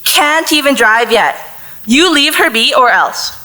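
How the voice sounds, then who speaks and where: A woman's voice: high-pitched, lively intonation, unhurried, bright, forceful tone, exaggerated voice, rough recording. A woman acting a firm, prim, overprotective mother in a contemporary audio play. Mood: angry. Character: overprotective, fussy mother of a teenage girl.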